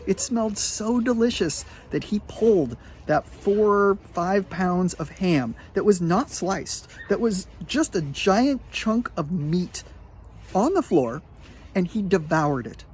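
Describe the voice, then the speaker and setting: A middle-aged man with a somewhat higher voice tells a rambling story about his week. He sounds chatty and animated, with plenty of "uh"s and "you know"s. A faint hiss sits under the recording. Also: slow. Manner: chatty, animated, lots of filler words. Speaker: high school sports medicine teacher. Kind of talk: casual storytelling to students.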